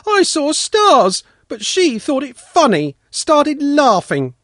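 Storybook reading: sulky boy whining high and shrill, voiced by a man; dry, close sound. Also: wildly swooping pitch, fairly bright tone, dry recording, heavily acted. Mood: aggrieved whining. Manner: high, aggrieved, drawn-out complaining. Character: sulky whiny peasant boy, easily offended.

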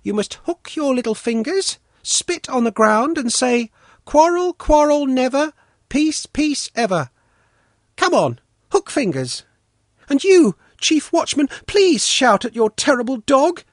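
A man's voice: very high-pitched, sing-song, measured pace, dry recording, clean studio sound, pitched above the performer's own voice, exaggerated voice. A man voicing an earnest, bold little girl with a ringing voice in a storybook. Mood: earnest, bossy. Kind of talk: storybook character reading.

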